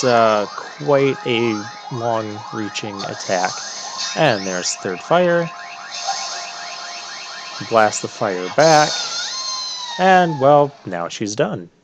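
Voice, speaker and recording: A man giving casual, halting commentary as he plays through a video game. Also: medium pitch, animated, rough recording. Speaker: gamer narrating a playthrough. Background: video game music.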